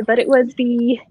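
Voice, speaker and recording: A woman's voice: fairly high pitch, husky, no room sound. A woman from Singapore in her thirties introducing herself on a video call, telling stories about her sporting life with a self-deprecating touch. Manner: warm self-deprecating storytelling with light laughs. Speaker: course co-founder. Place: indoor room, video call. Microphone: laptop or webcam mic on video call. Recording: dull dry video call audio.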